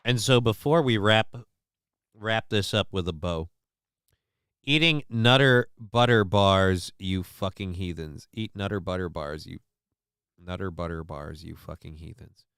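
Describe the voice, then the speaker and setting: A man's voice: low voice, measured pace, creaky, fairly bright tone, clear, resonant tone, no room sound, clean studio sound. A man from Minnesota hosting a casual live show, rambling and swearing while he answers the chat, with lots of restarts and fillers.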